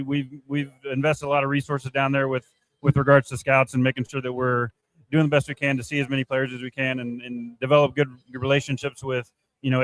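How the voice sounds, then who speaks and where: A man answering interview questions off the cuff, in halting, repetitive phrases as a sports staff director. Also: medium pitch, a bit bright, low-quality recording. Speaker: baseball player development director, former player.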